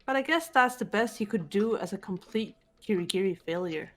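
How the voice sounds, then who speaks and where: Woman acting a serious teenage girl detective, measured, cool, middle pitch, game dialogue, soft music behind. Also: expressive, natural voice. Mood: composed, serious. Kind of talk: visual novel character reading.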